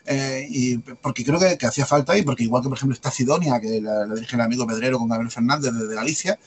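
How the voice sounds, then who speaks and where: A man with a light Andalusian accent, a guest talking at length in a rapid, discursive way and tagging on questions. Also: medium pitch, a little hiss or hum. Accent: light Andalusian Spanish. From Andalusia, Spain. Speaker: guest, publisher and author. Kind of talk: conversational interview answers.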